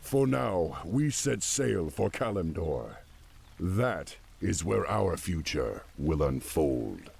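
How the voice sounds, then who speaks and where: A man's voice: deep voice, sing-song, takes it slowly, gravelly, darkish tone, slightly rough, full character voice. A man voicing a stern, resolute young orc warchief in a video game, firm and commanding. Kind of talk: video game cinematic acting.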